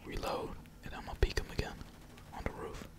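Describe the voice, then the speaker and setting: Gamer whispering into a close mic, chill slangy asmr play-by-play, some cussing. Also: a little fast. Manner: slangy, laid-back, casual swearing. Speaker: gaming streamer. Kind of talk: whispered ASMR gameplay commentary.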